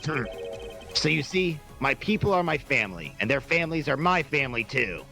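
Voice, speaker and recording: Man playing a gravelly, swaggering loyal wolfish agent. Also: mid-range voice, very animated, a little fast, bright, forceful tone, faint music behind, exaggerated voice. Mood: proud, protective. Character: loyal gruff male wolfish agent, devoted to family. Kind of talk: fan dub voice acting with improvised banter.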